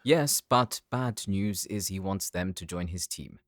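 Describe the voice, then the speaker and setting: A man's voice: sits low, measured pace, fairly bright tone, dry recording, studio quality. An actor playing a nervous, exasperated male researcher in an audio drama, anxious and pleading but polite.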